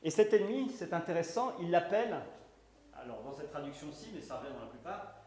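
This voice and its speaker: Man, lecturer, higher voice, many restarts, emphatic stresses, roomy echo. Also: very animated, fairly quick, warm tone. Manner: hesitant, many restarts and fillers; emphatic, rising on key words. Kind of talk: conference talk. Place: conference hall. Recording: echoey room.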